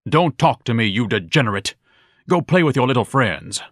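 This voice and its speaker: A man's voice: medium pitch, animated, moderate pace, fairly bright tone, no room sound, clean studio sound. Man doing a pompous, bellowing eccentric. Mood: haughty. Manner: bombastic, grandiloquent, scolding. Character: pompous huge eccentric man, lofty and indignant.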